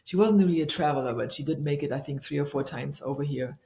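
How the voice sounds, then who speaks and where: A middle-aged woman from Germany, a leadership coach, answering interview questions thoughtfully and pausing often to search for her words. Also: low-pitched, vocal fry, warm tone. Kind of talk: reflective interview conversation. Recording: echoey room.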